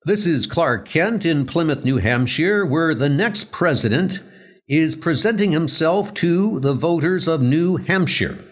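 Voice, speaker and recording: Man as formal, measured TV news reporter. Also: a little high, lively intonation. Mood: official, expectant. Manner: announcing, formal. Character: crisp, formal male television news reporter on location. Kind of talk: audio comic book acting of a news bulletin.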